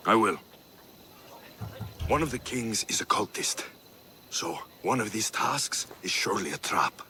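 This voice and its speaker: A man's voice: fairly low pitch, expressive, unhurried, pushed and bright, a little hiss or hum. A man acting a bold, confident young Spartan mercenary in a video game, blunt and wry. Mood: wry, focused.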